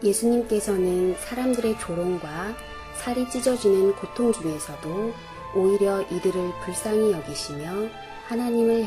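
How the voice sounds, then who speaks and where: A woman narrating a children's Bible storybook in a gentle, polite storytelling manner. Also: medium pitch.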